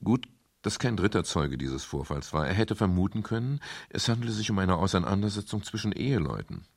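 An actor playing a private detective in a radio crime drama, curt one moment and soft and intimate the next, with a husky, gravelly voice. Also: male voice, deep voice, very animated, brisk, slight background noise, exaggerated voice. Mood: reflective narration. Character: brusque, irritable male private detective, tender with his lover. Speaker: actor and first-person narrator. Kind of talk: 1970s German radio crime drama acting.

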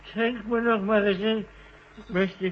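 An actor playing a tipsy, gloating old scientist, lecherous and rambling, in a vintage radio drama. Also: male voice, high voice, fairly even intonation, takes it slowly. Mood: gloating, gleeful. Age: older. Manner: lecherous, gleeful, rambling, chuckling. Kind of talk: 1970s Dutch radio drama acting. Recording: dull, noisy old radio recording.

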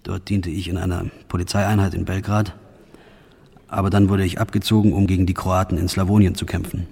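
An actor playing a grim soldier who recounts his war service in a measured, matter-of-fact radio play monologue. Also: sits low, flat delivery, vocal fry, mellow, slightly rough, exaggerated voice. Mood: sober, recounting.

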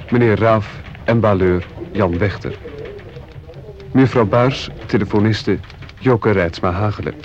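A man reading a scripted radio play cast announcement in a formal, measured manner. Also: on the low side, slight background noise. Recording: dull lo-fi radio recording.

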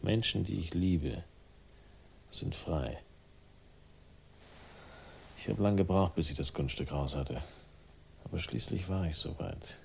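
A man's voice: sits low, takes it slowly, creaky, long pauses, a little mellow, a little hiss or hum, exaggerated voice. An actor playing a world-weary, sardonic man with a troubled past, speaking tersely in a radio crime drama. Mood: wry, resigned. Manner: terse, fragmented, wry. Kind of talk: 1960s German radio crime drama acting.

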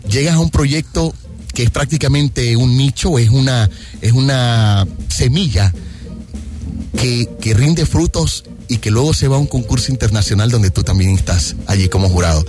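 A man's voice: mid-range voice, moderate pace. A man hosting a radio interview, chatty and affirming, asking long winding questions. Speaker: radio host. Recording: radio broadcast with music behind.

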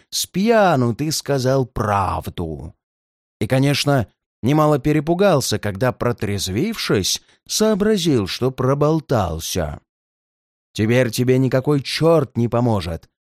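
A man voicing a cruel, gloating red-haired giant who threatens an old man in a slow, heavy delivery. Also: mid-range voice, no echo, clean studio sound.

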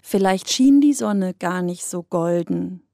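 A woman's voice: lowish voice, lively intonation, takes it slowly, mellow, no room sound, clean studio sound. A woman reading a scripted first-person narration, as in a radio feature.

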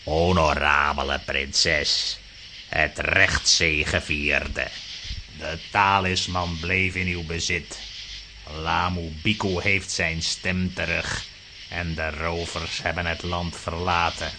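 An actor in a children's audio drama playing a scheming, pompous court astrologer with a solemn, theatrical, formal manner. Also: male voice, low voice, little pitch movement, slow pace, big-room sound, background noise.

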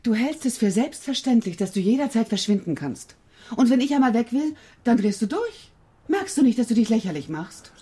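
A woman playing an exasperated, reproachful middle-aged mother with a husky voice in a German children's radio play. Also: fairly high pitch, very animated, normal speed, warm tone, a little hiss or hum. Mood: angry, reproachful.

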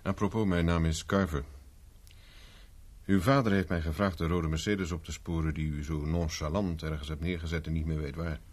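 An actor playing a persistent private investigator in a 1970s radio drama, speaking dry and insistent. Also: male voice, very deep voice, fairly even intonation, normal speed, darkish tone. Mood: ironic. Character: dogged male private investigator, cool and persistent. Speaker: private detective Carver.

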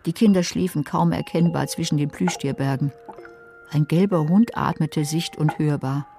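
A woman's voice: deep voice, normal speed. An actress playing a wry, scheming woman who narrates a radio drama in a dry, sardonic manner. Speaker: narrator and main character. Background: music.

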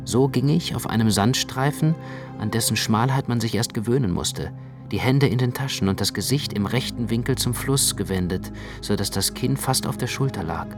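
A man playing a gravely tender, observant storyteller in a literary radio drama, narrating with measured precision. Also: mid-range voice, lightly acted. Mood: grave narration. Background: prominent music.

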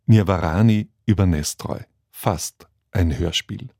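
A man's voice: sits low, unhurried, vocal fry, warm tone, no echo, studio quality. A man reading a scripted programme announcement as a radio announcer.

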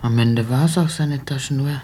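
An actress playing an anxious, humble old mother in a German radio drama, homely and hesitant, with a raspy voice. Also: rumbling low, moderate pace, dark tone. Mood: doubtful. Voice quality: raspy, creaky.

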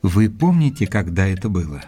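Gentleman investigator, mellow, slightly husky, measured, polite, formal, audio drama with music behind. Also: low voice, very animated, heavily acted. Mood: polite, probing. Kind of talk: dramatized audiobook narration and acting.

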